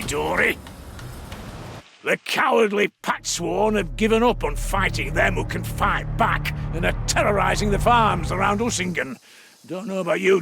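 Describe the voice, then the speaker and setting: A man voicing a gruff, boisterous dwarf engineer in a video game, hearty, colloquial and boastful, with a gravelly voice. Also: sits high, sharp tone, heavily acted. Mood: eager for battle, then indignant, resolute. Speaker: mission-briefing game character. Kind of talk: scripted video game voice lines.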